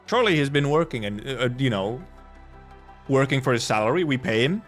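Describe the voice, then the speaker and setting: A man's voice: mid-range voice, animated, brisk, faint music behind. A man chatting casually on a game livestream, rambling and repeating himself as he talks. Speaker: game streamer.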